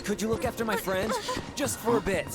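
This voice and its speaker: A man's voice: high-pitched, a little fast, lightly acted. An actor playing a polite, weary young man in a video game scene. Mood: polite, hesitant. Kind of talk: video game cutscene acting. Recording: loud music over the voice.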